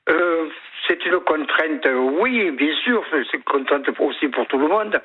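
A man with a Marseille accent calling a radio show. He talks in a friendly, hesitant way, and the phone line makes him thin and slightly husky. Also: fairly high pitch, lively intonation, unhurried. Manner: chatty, hesitant. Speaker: caller.

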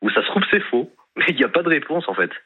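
A man calling in to a radio show, speaking hesitantly and haltingly, full of fillers. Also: on the high side, very animated, breathy edge. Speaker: caller. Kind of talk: phone-in conversation. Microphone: telephone. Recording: tinny phone line.

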